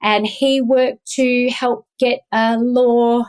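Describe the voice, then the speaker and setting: A woman's voice: a little high, steady tone, takes it slowly, a little mellow, smooth. A woman with a Melbourne accent, speaking as a guest in a casual interview, rambling with many ums and restarts. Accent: Australian (Melbourne). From Australia. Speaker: guest, activist.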